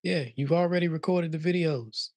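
A man's voice: fairly high pitch, steady tone, normal speed, a little mellow, no echo. A man in his forties coaching a guest on a video call from his living room, direct and pushing. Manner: direct, pushing, repeats words. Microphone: studio mic on arm, video call.

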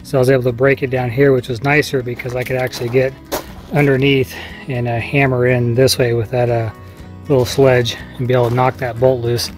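A man's voice: mid-range voice, level tone, brisk, soft background music. Plainspoken man explaining a farm repair. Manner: easygoing, plainspoken, explaining as he works. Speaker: homesteader vlogger. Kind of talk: casual how-to vlog. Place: outdoors on a farmyard. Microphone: camera mic, selfie at arm's length.

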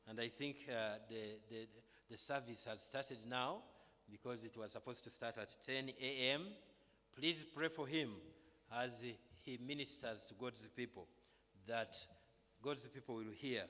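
A man's voice: medium pitch, pushed and bright. Ugandan clergyman in a reverberant hall. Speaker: clergyman leading a church service. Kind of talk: church announcements and welcome to a congregation. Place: large brick-walled chapel, indoors. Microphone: microphone on stand at pulpit, through face mask. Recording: reverberant hall through PA microphone.

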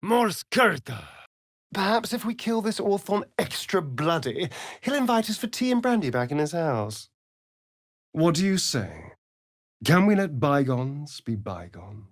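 A man's voice: fairly high pitch, very animated, a little slow, dry recording, clean studio sound, heavily acted. A man playing a vain, sardonic, flirtatious vampire rogue in a video game, with a British accent and an arch, drawling, theatrical delivery. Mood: incanting, then playful, coaxing.

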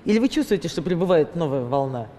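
A woman's voice: deep voice, very animated, fast talker, dark tone, slight background noise. A middle-aged woman hosting a studio talk show, asking curious questions. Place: TV studio with audience. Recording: reverberant studio with audience.

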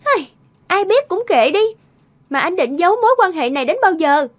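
A woman playing a demanding, aggrieved young mistress in an animated drama, pleading and insistent. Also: very high-pitched, a little fast. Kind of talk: animated cartoon drama acting. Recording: loud music behind the voice. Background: music.

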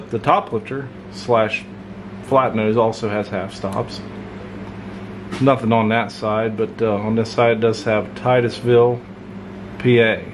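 A man with a fairly low voice talks through a pocket knife in a relaxed, rambling, folksy way, filling his pauses with "uh" and "you know." He is at a workbench at home, recorded on the camera mic from a little off-frame. A steady hum of room noise runs under his voice. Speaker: knife reviewer. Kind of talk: casual hands-on product review. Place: home workshop desk, indoors.

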